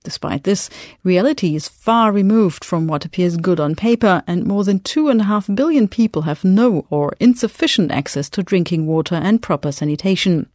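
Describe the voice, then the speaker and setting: A woman reads scripted radio news. Her voice sits a little lower than most women's and is recorded dry, with no audible room. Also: animated, moderate pace, hardly any pauses, a little mellow, dry recording. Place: dry studio.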